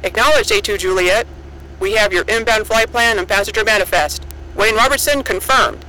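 An actor playing a brisk, caring male radio operator at a polar station, procedural and clipped, in an audio drama. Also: high voice, bright, forceful tone, rough recording. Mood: businesslike, collegial.

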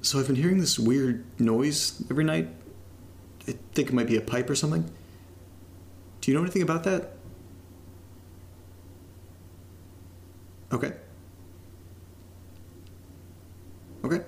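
A man's voice: medium pitch, fast, vocal fry, slight background noise, lightly acted. An actor playing a casual young man who documents his creepy new house in a found-footage horror audio drama, rambling informally with some swearing and self-mockery. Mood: polite, casual.

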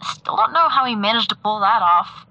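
An actress playing a sleepless, self-conscious woman in a naturalistic audio drama, talking haltingly. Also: medium pitch, expressive, bright, forceful tone, no room sound, muffled recording. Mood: sheepish. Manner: hesitant, halting.

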